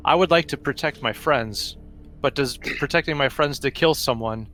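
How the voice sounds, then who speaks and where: A man of middling pitch speaks as a player in a tabletop roleplaying game. His delivery is halting and deliberate, slipping between casual table chat and in-character lines. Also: fairly bright tone, faint music behind.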